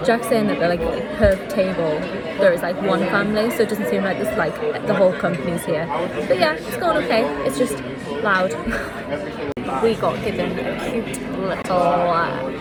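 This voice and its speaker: A woman's voice: medium pitch, animated, normal speed, over a noisy crowd. A young woman from the UK chatting casually to camera in a rambling, informal way as she vlogs. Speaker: vlogger. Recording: very noisy, busy background, camera microphone.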